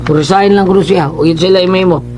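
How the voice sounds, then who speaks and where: A man's voice: sits high, steady tone, fast talker, a little mellow, slight background noise. A man with a Cebuano accent co-hosting a radio show, casually retelling and bantering. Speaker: radio co-host.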